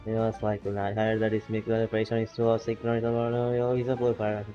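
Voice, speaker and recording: A man's voice: on the low side, completely flat delivery, quick pace, highs cut off. A young man casually commenting while playing a game and reading its text aloud, rambling and playful. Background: game music.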